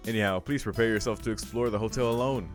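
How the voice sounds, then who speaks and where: A man voicing a brisk, scolding comic teacher from a visual novel, lecturing in a mock-serious way. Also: fairly low pitch, steady tone, over music, close to an everyday voice.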